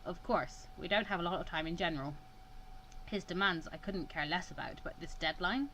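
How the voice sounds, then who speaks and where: A woman voicing a calm, brusque male mentor in a visual novel, measured and matter-of-fact. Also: fairly low pitch, slight background noise, voice pushed down, poor audio. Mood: dismissive.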